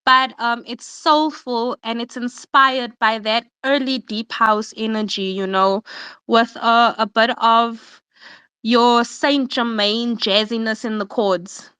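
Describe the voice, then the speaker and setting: A woman's voice: on the high side, steady tone, slow, pushed and bright, clean tone, dry recording. A young woman, a guest artist chatting thoughtfully about her music in a casual interview. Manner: reflective, talking through her music with fillers and laughs.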